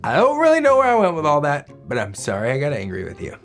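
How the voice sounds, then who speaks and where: A man's voice: fairly high pitch, wildly swooping pitch, quick pace, soft background music. A man co-hosting a children's read-aloud with theatrical, clowning banter. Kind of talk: playful banter between story readers.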